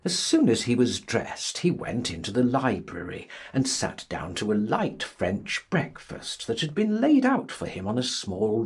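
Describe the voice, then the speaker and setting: A man narrating a literary audiobook in a dramatic, theatrical and measured style. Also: mid-range voice, husky.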